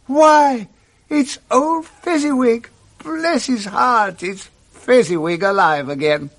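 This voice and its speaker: An actor playing an old miser, softened, wistful and excitable, in a 1960s radio drama with an emotional manner. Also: male voice, very high-pitched, takes it slowly, full character voice. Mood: delighted excitement.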